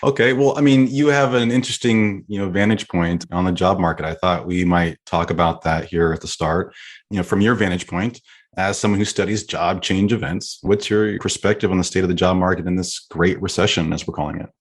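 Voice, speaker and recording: A man's voice: sits low, steady tone, fairly quick. A man hosting a business interview show, speaking in a conversational way. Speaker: podcast host.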